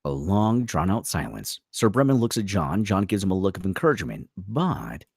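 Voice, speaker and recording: A man with a lowish, rough-edged voice narrates a script. His delivery swings widely in pitch, dramatic and vivid, recorded close and dry. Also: sing-song, normal speed, darkish tone, no room sound. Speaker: narrator reading stage directions.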